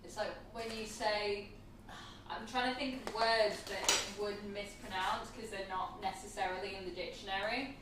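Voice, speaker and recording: A woman's voice: medium pitch, steady tone, normal speed. A woman chatting off camera in a kitchen, reading out viewer comments and laughing easily. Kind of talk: casual chat. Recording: distant, very echoey room with loud hum.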